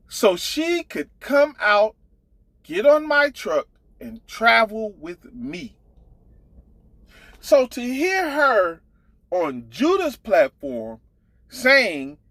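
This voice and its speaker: A man's voice: high-pitched, a bit bright, no room sound. A man telling a casual gossip story as an online commentator, blunt, profane and exasperated, driving his points home with emphatic repetition.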